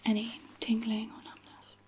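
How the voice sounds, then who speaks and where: A woman doing a soft-spoken ASMR medical roleplay as a physiotherapist, with a gentle, reassuring, whispery manner. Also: on the high side, monotone, normal speed. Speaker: ASMR performer playing a physiotherapist.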